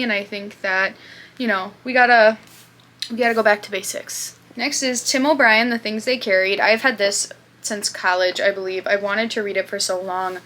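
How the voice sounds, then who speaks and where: Bright-voiced chatty young woman. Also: medium pitch, a little hiss or hum. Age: 20s. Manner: chatty, with fillers and asides. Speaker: booktube host. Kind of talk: casual chat.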